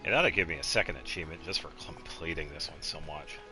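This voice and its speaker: A man casually commentating a game on a live stream, chatting with viewers and thinking aloud in a low-key, rambling way. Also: quick pace. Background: game music.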